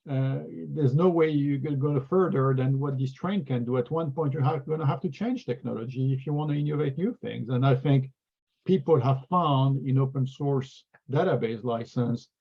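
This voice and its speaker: This French-accented man speaks earnestly and often restarts his words mid-thought. His voice is gravelly and husky, at a middle pitch. The video call audio is dull and lo-fi. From France. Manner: earnest, repeats words while thinking. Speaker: regional sales executive. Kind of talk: webinar interview answers.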